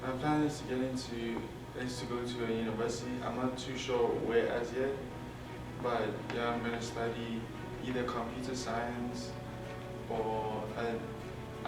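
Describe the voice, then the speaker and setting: A young man from South Africa, a high school senior, giving informal interview answers. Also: flat delivery, moderate pace. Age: young adult. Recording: roomy, distant mic, loud music behind.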